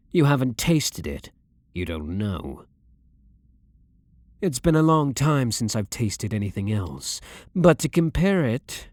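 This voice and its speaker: A blunt, brooding vampire hunter, acted by a man for an ASMR roleplay. The voice is very low and husky, with slow, measured phrasing that swings expressively in pitch. He sounds controlled and dominant, speaking softly to the listener. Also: dry recording, exaggerated voice. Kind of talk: ASMR roleplay, dramatic monologue.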